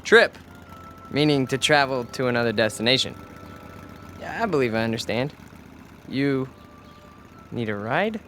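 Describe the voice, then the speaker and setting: A man playing an awkward young newcomer in a radio drama, halting and stilted as he fakes hip slang. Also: mid-range voice, animated, a bit bright, a little hiss or hum. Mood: earnest, stilted. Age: young adult. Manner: halting, stilted, swinging from professorial wording to beatnik slang. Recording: music bed and engine sounds behind.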